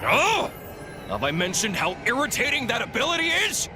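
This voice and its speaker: An actor voicing a mocking, theatrical male game villain who taunts in a drawn-out way. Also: very high voice, wildly swooping pitch, over music, exaggerated voice. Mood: taunting triumph. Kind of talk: video game voice acting.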